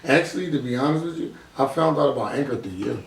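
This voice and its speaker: A man's voice: mid-range voice, expressive, fast, creaky, far from the mic, a little hiss or hum. Off-mic man chatting in echoey room. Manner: slangy, informal. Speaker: podcast host. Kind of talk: casual podcast conversation.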